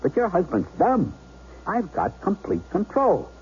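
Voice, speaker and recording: An actor playing a hard-boiled, distrustful armed robber holding hostages, with slangy, sneering tough talk in a 1970s radio drama. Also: male voice, high-pitched, huge pitch swings, a little slow, a little mellow, heavily acted. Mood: scornful. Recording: dull, faintly noisy 1970s radio recording.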